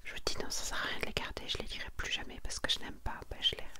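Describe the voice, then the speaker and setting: Lady doing asmr, all whispered, breathy n soft, chatty little asides. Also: fast. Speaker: host of an ASMR manga collection video. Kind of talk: whispered ASMR presentation, reading summaries and commenting.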